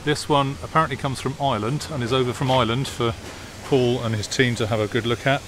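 An Englishman ambles through an outdoor car park, chatting about the vehicles in an easygoing, halting way full of ums and uhs. His voice sits at an ordinary middle pitch. A jet washer and outdoor noise come through clearly behind him on the phone recording. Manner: relaxed, hesitant with many fillers. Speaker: classic car vlogger. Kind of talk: casual walk-around commentary.

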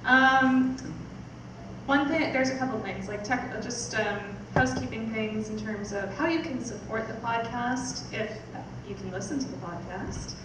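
A woman's voice: fairly high pitch, measured pace. A young woman giving an informal speech as host, casual, hesitant and a little self-deprecating. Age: young adult. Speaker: podcast producer hosting launch event. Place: small theatre stage, indoors. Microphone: stand mic, picked up by distant camera. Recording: very echoey, noisy hall from far off.

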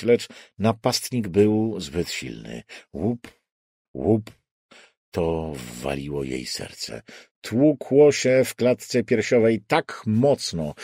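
Slow, expressive low-voiced male thriller narrator. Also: dry recording, clean studio sound. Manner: deliberate, suspenseful delivery with dramatic pauses. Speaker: audiobook narrator. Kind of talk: dramatic scripted narration of a crime thriller.

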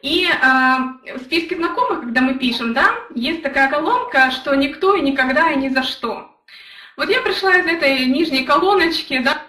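High, bright-voiced woman telling her story. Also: normal speed, reverberant, far from the mic. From Ukraine. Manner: earnest, storytelling, addresses chat viewers directly. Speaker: network marketing leader. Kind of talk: motivational live stream talk.

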